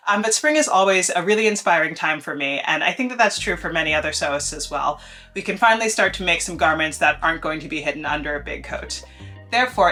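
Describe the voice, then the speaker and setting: Bright, congested woman vlogging. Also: deep voice. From United States. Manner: enthusiastic, chatty, with fillers like um and like. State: congested from allergies. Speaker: sewing vlogger. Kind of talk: casual upbeat vlog talk.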